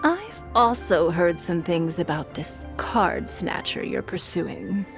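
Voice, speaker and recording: A woman playing a shrewd, refined, wealthy businesswoman in a video game, speaking in a measured, formal, eloquent way. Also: fairly low pitch, very animated, over loud music, exaggerated voice. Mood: composed, informative. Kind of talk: game dialogue acting.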